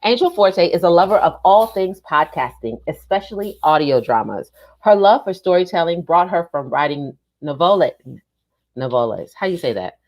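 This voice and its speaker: A woman hosting a casual live podcast, chatting and reading aloud in an expressive, emphatic way. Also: fairly low pitch, normal speed. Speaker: podcast host.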